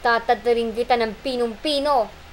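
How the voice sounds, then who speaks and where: A woman's voice: on the high side, normal speed, slight background noise, close to an everyday voice. A woman voicing a greedy, mean monkey in an amateur puppet show, blustering and threatening, whining when in trouble. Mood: angry, menacing.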